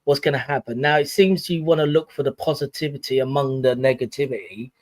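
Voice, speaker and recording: A man's voice: medium pitch, fairly quick, darkish tone, dry recording. A man in his forties, giving life advice in a casual livestream chat, preachy and fond of sayings. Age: 40s. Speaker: guest on a livestream.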